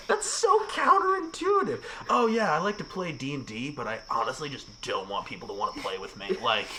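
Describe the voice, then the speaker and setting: A man's voice: medium pitch, pushed and bright, a little hiss or hum, poor audio. A man talking in a casual podcast chat, ranting with emphasis and frequent swearing. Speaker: podcast guest.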